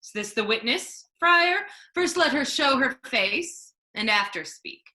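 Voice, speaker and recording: A woman playing an imperious, sardonic duke in a Shakespeare reading, speaking in a deliberate, declamatory way. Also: high voice, expressive, sharp tone, exaggerated voice. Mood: dry, mocking. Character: imperious duke, a ruling man, sardonic judge.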